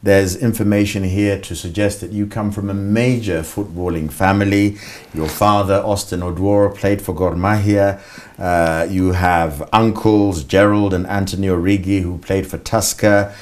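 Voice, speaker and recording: Kenyan male host, formal interviewer, measured, dry-humored. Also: sits low, steady tone, darkish tone.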